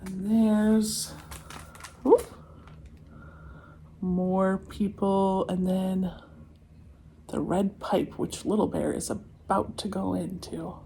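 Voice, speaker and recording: A woman's voice: medium pitch, little pitch movement, extremely slow, long pauses, mellow, a little hiss or hum. A woman reading a children's picture book aloud expressively, with chatty asides and exclamations of wonder. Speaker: read-aloud storyteller.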